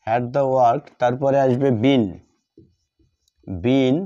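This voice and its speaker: A man teaching a grammar lesson step by step, deliberately repeating phrases and answering his own questions. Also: medium pitch, flat delivery, mellow. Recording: dull lo-fi sound.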